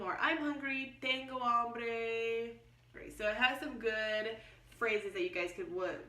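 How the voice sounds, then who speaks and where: A middle-aged American woman talks through a product in a chatty, explanatory vlogger style. Her voice is bright and forward, at an ordinary female pitch. The room adds noticeable echo, and she sounds a little way from the microphone. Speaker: homeschooling vlogger and product reviewer. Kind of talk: casual unboxing review. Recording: roomy echo, distant mic.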